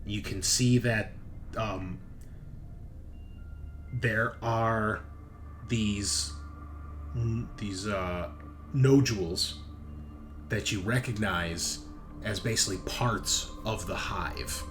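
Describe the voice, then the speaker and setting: A man narrating a sci-fi horror tabletop game as game master, speaking haltingly and thinking aloud with pauses. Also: fairly low pitch, a bit bright, soft background music.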